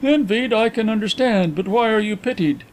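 A man voicing a proud, excitable gentleman in an audiobook, speaking in stiff, emphatic exclamations. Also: high voice, moderate pace, pitched above the performer's own voice, natural voice. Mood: agitated, incredulous. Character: excitable, proud, touchy gentleman visitor, agitated and bitter. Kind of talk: audiobook character acting.

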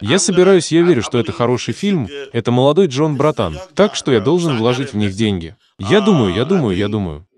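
A man dubbing a podcast host, excitable and quick to talk over others. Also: mid-range voice, a crowd in the background, close to an everyday voice. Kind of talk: dubbed casual podcast chat.

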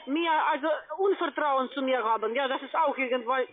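Over a tinny phone line with busy chatter behind, a woman speaks in a high voice with a foreign accent. She is impatient and insistent, pushing like a call center agent. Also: brisk. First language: not German. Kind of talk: pushy sales phone call.

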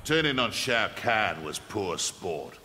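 A man's voice: lowish voice, very animated, slow, music in the background. An actor voicing a cocky, taunting male mercenary in a video game. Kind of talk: video game character dialogue.

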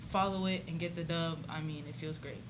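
A young woman basketball player answering reporters in a relaxed, friendly way with occasional fillers, speaking into a desk microphone. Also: sits low, brisk, background noise. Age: young adult. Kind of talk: postgame press conference answers. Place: press conference room, indoors. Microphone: desk microphone on stand.

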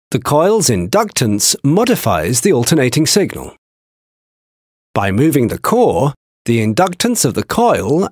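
A man giving scripted technical narration for a training video, with a measured, explanatory delivery. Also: medium pitch, husky, no echo, studio quality.

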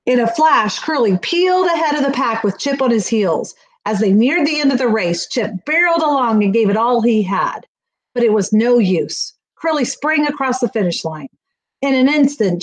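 Middle-aged female storyteller, slightly high voice, expressive children's book read-aloud. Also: poor audio. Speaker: school staff reading aloud. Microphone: webcam on a video call, near.

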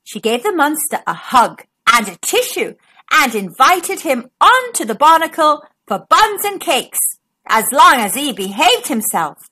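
A woman narrates a picture book for young children. Her voice is high and bright, moving up and down a great deal. She reads slowly, leaning into words with dramatic emphasis. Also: sing-song. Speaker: children's storybook narrator and channel host. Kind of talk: scripted read-aloud for young children.

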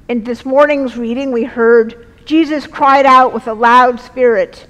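A middle-aged woman delivers a sermon at a church lectern in slow, weighty phrases. Her voice sits fairly high, and a long church reverb trails behind every line. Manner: measured, earnest, slow deliberate phrasing. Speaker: preacher. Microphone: lectern mic, far camera.

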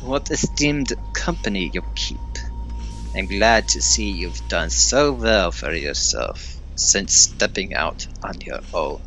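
A man's voice: low voice, sing-song, takes it slowly, fairly bright tone, soft background music, heavily acted, poor audio. A man playing a haughty, sardonic superhuman villain in an audio drama, theatrical and condescending. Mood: smug condescension.

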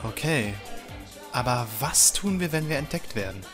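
A man's voice: mid-range voice, expressive, moderate pace, over music, close to an everyday voice. A man playing an inquisitive, cautious hero's partner in an audio drama. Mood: wary. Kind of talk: German fan audio drama acting.

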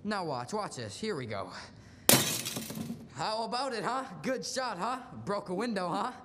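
A man's voice: sing-song, measured pace. A young man playing an earnest, restless small-town dreamer in a radio play, talkative and stammering. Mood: eager, playful. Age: young adult. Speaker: student actor. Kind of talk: live radio play in 1940s manner. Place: large school auditorium stage. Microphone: stage stand microphone. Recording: echoey auditorium, faint hiss, rumble.

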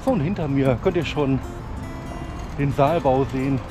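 A man's voice: mid-range voice, moderate pace, music in the background. Chatty man walking outdoors. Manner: chatty, frank, with fillers and regional slang. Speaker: vlogger, urban hiking guide. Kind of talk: casual walking vlog commentary. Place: outdoors on city streets and parks. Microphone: chest-mounted action camera.

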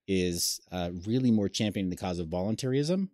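30s guy hosting over video call, lowish voice, dry sound, keeps restarting his questions. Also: expressive, fairly quick, dark tone, dry recording. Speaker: host. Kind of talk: casual video call interview. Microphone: webcam on a video call.

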